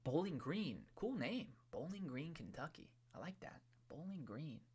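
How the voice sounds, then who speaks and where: A man's voice: fairly high pitch, big pitch swings, moderate pace, a little mellow, slightly rough. A man, hosting a livestream and chatting loosely with viewers, with many restarts and trailing phrases. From New England. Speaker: livestream host. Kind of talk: casual livestream chat reading viewer comments.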